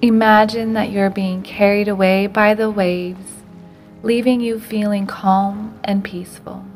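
Gentle woman guiding a meditation over music. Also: medium pitch, steady tone. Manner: slow, unhurried, gentle delivery with soft breathy phrase endings. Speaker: meditation guide. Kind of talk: guided meditation narration.

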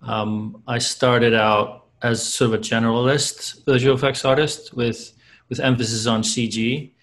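Icelandic guy, english not his first language, flat steady voice, slow careful answers. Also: medium pitch. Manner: measured, searching for words with many ums. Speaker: guest, visual effects artist. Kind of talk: casual interview.

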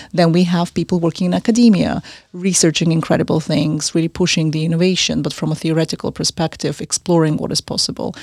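A woman's voice: low voice, darkish tone, dry recording, studio quality. A young woman from Central Asia, raised in Austria, answering podcast questions as a fashion designer and consultant, talking fluently and earnestly.

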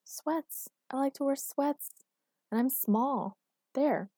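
A woman's voice: mid-range voice, a little fast, darkish tone, no echo, studio quality, lightly acted. A woman voicing an irritable, sleepy, stubborn young woman with a whiny, grumbling manner in an audiobook. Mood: indignant, stubborn. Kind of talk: acted audiobook dialogue.

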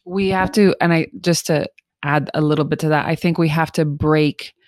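Cuban American woman, low voice, animated guest, restarts phrases, dry sound. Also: normal speed, dry recording. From United States. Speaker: guest, theatre company co-founder. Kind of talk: casual podcast interview.

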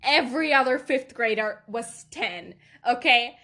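Chatty accented woman, high and unhurried. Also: pushed and bright. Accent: non-native accent. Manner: rambling, self-deprecating, laughs often. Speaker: podcast host. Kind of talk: casual solo podcast chat.

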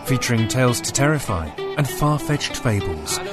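A man's voice: medium pitch, very animated, normal speed. A man reading a scripted promotional intro as a podcast network announcer. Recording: produced jingle with loud guitar music. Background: loud guitar music.

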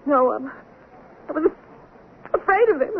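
Through a tinny old radio recording comes a very high-pitched, brittle theatre actress. She is grand and anguished, pausing dramatically and halting as she goes, in a vintage radio play with faint hiss. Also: expressive, vocal fry, full character voice. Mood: bitter, anguished. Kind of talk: 1970s radio drama acting.

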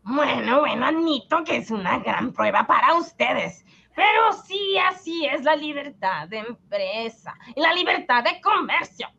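Mujer haciendo de ministro condescendiente, voz aguda y lenta. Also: female voice, high voice, lively intonation, measured pace, creaky, sharp tone, slightly rough, dull audio, full character voice. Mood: condescending, exasperated. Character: smug, dismissive government minister defending free trade. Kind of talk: radio drama acting.

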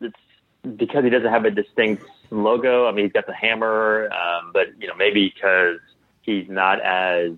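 A man speaking as a guest in a casual interview, in a halting, tentative way with trailing sentences and restarts, over a call. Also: on the low side, flat delivery, no echo. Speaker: interview guest, clothing designer. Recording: tinny phone-like line.

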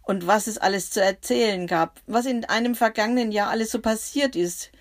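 A woman narrating a bible story for children in the first person, gentle and reflective. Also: a little high, fairly quick.

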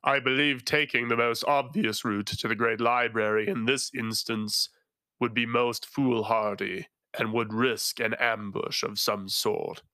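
A man voicing a solemn, tentacled male illusionist knight with a resonant voice and a slow, grave delivery. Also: mid-range voice, steady tone, bright, forceful tone, dry recording.

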